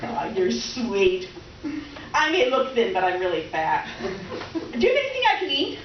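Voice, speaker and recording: A woman's voice: mid-range voice, sing-song, a little slow. Woman playing effusive middle-aged former sweetheart, stage comedy, self-mocking chatter, roomy sound, distant mic. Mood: gushing, joking. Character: gushing, over-excited middle-aged former high-school sweetheart woman. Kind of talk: readers theater stage comedy. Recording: roomy, distant, dull, faintly noisy stage recording.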